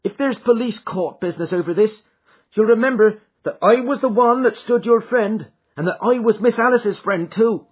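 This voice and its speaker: A man's voice: sits high, moderate pace, breathy edge, pitched above the performer's own voice. A man playing a tall, gaunt female servant who speaks earnestly and plainly, on a dull recording. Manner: earnest, forthright.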